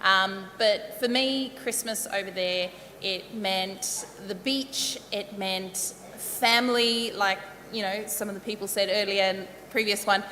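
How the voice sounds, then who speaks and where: A woman's voice: medium pitch, steady tone, measured pace, hardly any pauses, bright, forceful tone. Joking woman from South Africa, echoey. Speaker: guest. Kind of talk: casual storytelling.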